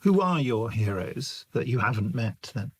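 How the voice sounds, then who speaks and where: A man with a British accent and a gravelly, husky voice, putting questions in a conversational interview. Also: mid-range voice, expressive, moderate pace, slight background noise.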